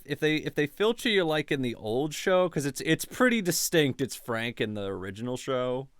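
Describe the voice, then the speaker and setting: Talkative male podcast host, mid-pitched voice, frequent laughs, dry room. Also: big pitch swings, fairly bright tone, clear, resonant tone, dry recording. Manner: chatty, laughs often, quick restarts. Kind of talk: casual interview chat.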